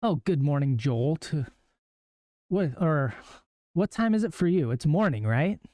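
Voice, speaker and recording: A young man with a hoarse, worn-out voice, talking casually as he walks through a trading plan on a live stream, with plenty of ums and rights. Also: on the high side, lively intonation, measured pace, dark tone, no room sound, studio quality. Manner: laid-back, chatty, lots of ums and rights. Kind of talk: casual live market walkthrough.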